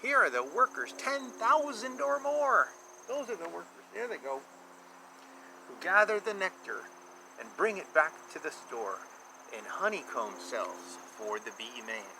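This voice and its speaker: A man's voice: sits high, sing-song, a little slow, creaky, sharp tone. Guy in his 50s reading a kids book outside, very up and down voice, repeats stuff simply, noisy bugs in background. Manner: simple, repetitive, emphatic explaining to young children. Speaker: children's book reader. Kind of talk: read-aloud storytelling with explanatory asides. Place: outdoor backyard beside a beehive. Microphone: camera at about arm's length. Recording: outdoor noise with insect chirps.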